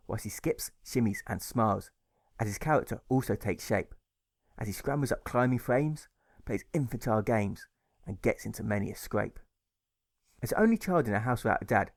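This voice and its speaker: A man's voice: fairly low pitch, no echo. Man narrating rhythmically, dry close sound. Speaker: author reading his own audiobook. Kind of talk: scripted storybook narration in rhyme.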